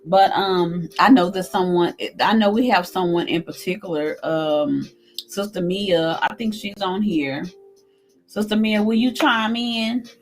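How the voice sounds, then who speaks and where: A woman co-hosting a casual live-stream talk, gentle and encouraging with many fillers. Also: mid-range voice, unhurried, faint music behind. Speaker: co-host.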